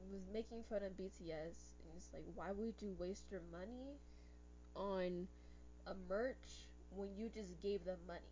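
A young woman hosting a podcast in casual chat, rambling and correcting herself, a little hoarse from losing her voice. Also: mid-range voice, a little mellow, highs cut off, a little hiss or hum. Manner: rambling, self-correcting, exasperated ranting. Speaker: podcast host.

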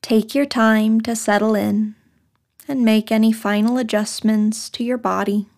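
A woman leading a guided meditation in a soft, breathy, soothing voice, speaking short phrases with long pauses. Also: on the high side, steady tone. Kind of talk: guided meditation, scripted narration.

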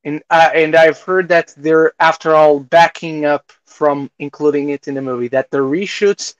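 A man's voice: fairly high pitch, steady tone, normal speed, no room sound, muffled recording. A man co-hosting a podcast, chatting casually about movies and television. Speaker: podcast co-host.

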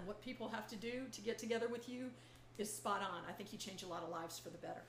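A woman voice-over artist guesting on a podcast, with a natural gravel to her voice, chatting in a playful, candid way. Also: medium pitch, a little fast. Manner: playful, candid, hesitant restarts. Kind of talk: casual podcast chat. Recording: echoey room with faint noise.

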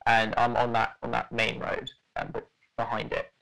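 A man's voice: mid-range voice, monotone, moderate pace, gravelly, pushed and bright, poor audio. A young man telling a story in casual podcast chat, rambling with lots of fillers and restarts.

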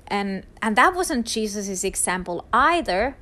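Woman doing a slow devotional talk, mid pitch, lots of uhs and likes. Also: sing-song, sharp tone. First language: Finnish. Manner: thoughtful, hesitant, frequent fillers and restarts.

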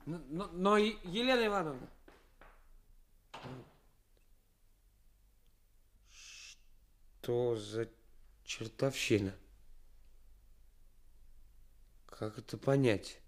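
Актёр в радиоспектакле 1980-х играет молодого жениха, который строит из себя наглого авантюриста, с театральной манерой и паузами. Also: male voice, medium pitch. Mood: bewildered. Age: young adult. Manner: deliberate, theatrical, halting pauses. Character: young actor suitor playing a brazen swindler. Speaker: radio drama actor. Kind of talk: 1980s Soviet radio play acting.

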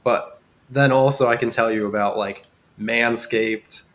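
A man's voice: mid-range voice, fairly even intonation, a little slow, fairly bright tone. A man with a nasal, congested voice from a cold, chatting casually and dryly as a podcast guest. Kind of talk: casual chat. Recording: clearly noisy background.

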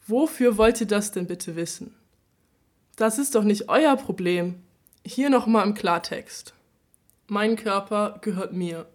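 A performer delivering a scripted radio-play monologue in a firm, direct, confrontational way. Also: medium pitch.

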